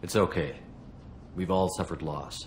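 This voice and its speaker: Steady military investigator, deep voice, terse and calm, businesslike, sci-fi game, faint noise. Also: male voice, a little slow. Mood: reassuring. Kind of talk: video game voice acting.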